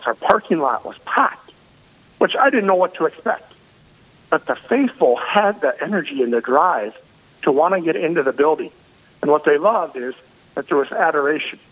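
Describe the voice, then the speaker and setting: Energetic male pastor, big loud voice, higher pitch, radio call sound. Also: normal speed, poor audio. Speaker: parish priest. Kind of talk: radio interview by phone.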